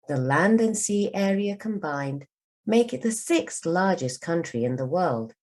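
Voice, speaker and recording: Low female voice, lively intonation, careful storybook narration, teacher reading aloud. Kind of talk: scripted storybook narration for learners.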